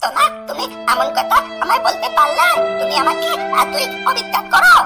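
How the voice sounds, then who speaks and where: A performer, pitched up into a squeaky chipmunk-like voice, voicing a whiny, excitable, lovesick cartoon schoolboy in a crude comedy. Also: moderate pace, full character voice. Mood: whining, indignant. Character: bratty lovesick cartoon schoolboy, pleading and foul-mouthed. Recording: loud music under the voice.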